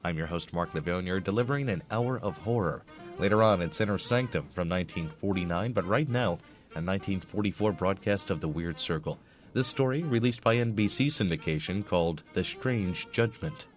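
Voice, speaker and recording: A man's voice: low-pitched, animated, normal speed. A man presenting as a radio program host in a scripted announcing style. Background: music.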